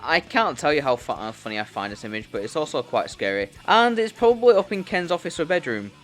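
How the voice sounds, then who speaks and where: A man's voice: fairly high pitch, very animated, quick pace. A man hosting a YouTube commentary video, talking in a blunt, informal, sweary way as he reads a loose script. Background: music.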